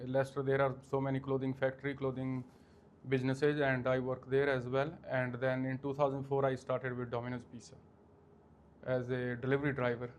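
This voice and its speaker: Indian man, halting interview answers. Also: medium pitch, slight background noise. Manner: halting, many fillers and restarts. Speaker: guest, franchise owner.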